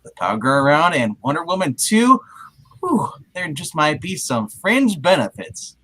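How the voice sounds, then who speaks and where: A man's voice: a little high, big pitch swings, normal speed, bright, forceful tone, husky, heavily acted, rough recording. A man reading a cocky, leering, flirtatious superhero in an amateur comic book reading.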